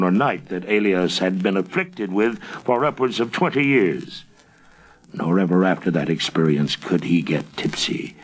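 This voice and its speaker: A man with a husky voice telling a ghostly folk tale in a theatrical, suspenseful storyteller's manner. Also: low-pitched, full character voice, rough recording. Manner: theatrical, drawn-out suspenseful phrasing.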